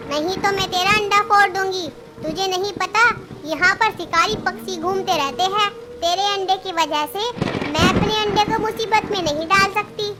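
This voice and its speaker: A woman voicing a bossy, threatening female crow who guards her nest in a cartoon story. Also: very high voice. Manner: harsh, threatening, then grudging.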